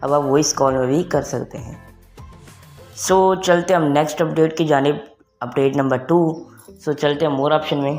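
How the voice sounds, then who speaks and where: A man's voice: fairly high pitch, fairly even intonation, moderate pace, darkish tone. A young adult man gives a casual tech tutorial to online viewers, mixing English phrases into his talk. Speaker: tech video presenter. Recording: music behind the voice. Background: background music.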